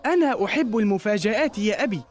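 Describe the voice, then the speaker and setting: A woman plays an earnest young boy from a children's cartoon. The delivery is polite and very expressive, with a lively melody in formal Arabic and soft music behind. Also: mid-range voice, fast talker, close to an everyday voice. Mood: happy, grateful. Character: earnest, polite young schoolboy, easily downcast.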